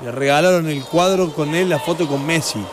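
A man's voice: fairly high pitch, brisk, noisy background. A man with an Argentine accent co-hosting, chatting casually with short, slangy, joking interjections. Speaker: co-host. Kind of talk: casual chat, short reactions. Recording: music playing clearly behind. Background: music.

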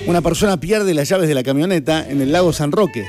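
A man's voice: fairly high pitch, fast talker. A man with an Argentine accent hosting a casual show, bantering and telling stories emphatically and colloquially. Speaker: morning radio and streaming show host. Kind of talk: lively casual banter with co-hosts. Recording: music playing loudly behind. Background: music.

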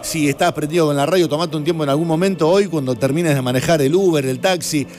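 A man's voice: fairly high pitch, fast, darkish tone, slight background noise. A man with an Argentine accent hosting a radio and TV show, chatting casually and explaining things to listeners with enthusiasm. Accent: Argentine Spanish.

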